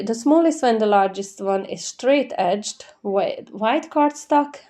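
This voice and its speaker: A woman talks through a craft tutorial step by step in a dark, relaxed, mid-pitched voice. She sounds slightly nasal from a head cold. Her explaining is gentle and chatty, in an informal voice-over style. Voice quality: stuffy nose, slightly nasal. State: congested with a cold.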